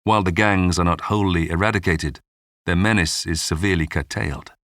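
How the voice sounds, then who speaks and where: A man hosting a history programme, reading scripted documentary narration in a measured, polished storytelling way. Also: low voice, a bit bright, dry recording, clean studio sound. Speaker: podcast narrator and host.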